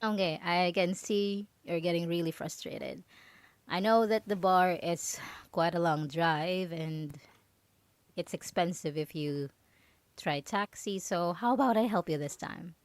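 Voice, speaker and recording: A woman's voice: on the low side, no echo, lightly acted. Woman acting a falsely sweet, possessive roommate. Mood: nervously evasive. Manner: coaxing, halting, nervous giggles, feigned innocence. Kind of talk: ASMR roleplay audio drama.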